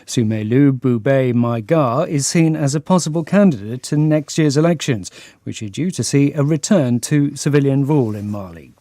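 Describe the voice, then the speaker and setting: A man reading world news headlines in a measured, formal broadcast delivery. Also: medium pitch, lively intonation, mellow, no room sound, studio quality. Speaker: newsreader.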